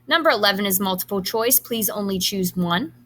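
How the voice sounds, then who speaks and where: Bright-voiced woman teacher reading aloud. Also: mid-range voice, expressive. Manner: instructional, enunciates quiz items deliberately. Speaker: high school science teacher. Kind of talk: reading quiz questions aloud to students, with brief instructions.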